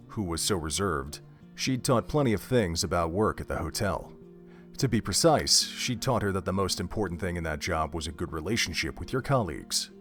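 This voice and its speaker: A man narrating an audio drama in an expressive storybook manner. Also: lowish voice. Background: faint music.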